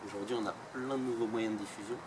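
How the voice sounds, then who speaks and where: A man's voice: fairly low pitch, fairly even intonation, fast talker. A man being interviewed about his photojournalism project, answering at length with frequent hesitations. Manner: hesitant, many fillers. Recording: distant mic, loud outdoor noise.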